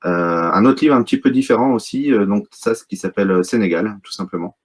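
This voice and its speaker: Low-voiced hesitant French man. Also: a little fast. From Brittany, France. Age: middle-aged. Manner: hesitant, many fillers and restarts. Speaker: wildlife photographer, guest. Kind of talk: casual interview talk.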